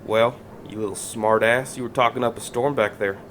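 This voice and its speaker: A man playing a gruff, suspicious working man with a folksy, blunt way of talking in an audio drama. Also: mid-range voice, steady tone, fairly quick, noisy background, lightly acted.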